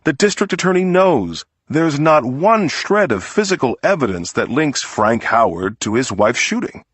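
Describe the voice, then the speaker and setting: A man's voice: mid-range voice, very animated, normal speed, fairly bright tone, breathy edge, no room sound, pitched above the performer's own voice. Man playing an emphatic, scornful defense lawyer. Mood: emphatic, persuasive. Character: male defense lawyer, indignant, persuasive, addressing a jury. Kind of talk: dramatized courtroom closing argument in audiobook.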